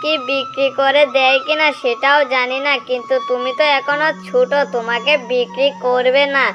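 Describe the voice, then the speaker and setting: A woman's voice: high-pitched, level tone, moderate pace, natural voice. A woman voicing a resigned grown farm cow who explains things like an elder brother in a cartoon. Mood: resigned, reassuring. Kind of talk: cartoon dialogue. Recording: dull lo-fi track under loud music.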